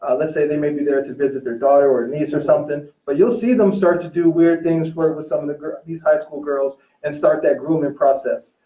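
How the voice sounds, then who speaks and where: A man in a casual discussion, agreeable, choosing his words in a measured, somewhat hesitant way. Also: a little high, steady tone. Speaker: teacher, talk show guest or co-host. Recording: roomy, dull, distant-mic recording.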